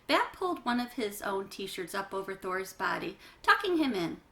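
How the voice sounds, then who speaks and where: A woman's voice: mid-range voice, sing-song, moderate pace, bright, forceful tone. A woman reading a children's book aloud, narrating with chatty asides to young listeners. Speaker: children's read-aloud reader.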